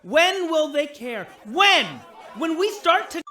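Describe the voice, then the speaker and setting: An agitated male activist leader from a sci-fi radio adventure. The voice is high and forceful, swinging widely as he harangues a rally. He sounds impassioned and indignant about the cause. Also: animated, slow, soft background music, heavily acted. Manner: impassioned, rabble-rousing. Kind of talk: sci-fi radio drama speech.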